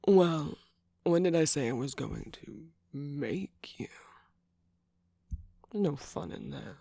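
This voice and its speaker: A man acts out a jaded, teasing villain's minion in a romantic ASMR roleplay. He drawls at an unhurried pace, breathy and husky with a gravelly fry, often slipping into whispers. The recording is close and dry. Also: fairly high pitch, expressive, dark tone, no echo, exaggerated voice. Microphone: close microphone, dry sound.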